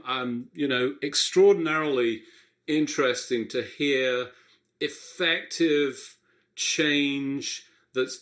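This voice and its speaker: A man in the host's seat of a health radio show, with a middle-pitched voice. He talks reflectively, often restarting sentences as he thinks aloud. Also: fairly bright tone. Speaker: radio show host, physician. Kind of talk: interview host.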